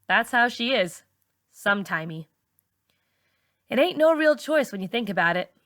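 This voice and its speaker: Bright-voiced woman reading a novel aloud. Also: on the high side, normal speed, no room sound, studio quality. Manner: expressive first-person storytelling. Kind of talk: audiobook-style novel reading.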